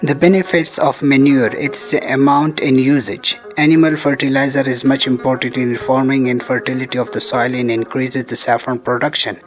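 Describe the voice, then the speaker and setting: A man narrates an educational film in a mid-pitched voice. He reads a prepared script formally, laying out instructions one step at a time. Music plays faintly behind him. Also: flat delivery, normal speed, few pauses, rough recording. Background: background music.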